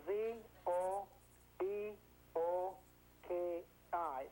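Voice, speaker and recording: A man's voice: high-pitched, steady tone, warm tone. Hungarian man calling in by phone. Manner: earnest, halting. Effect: telephone line. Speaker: phone-in caller. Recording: telephone call on noisy old broadcast tape.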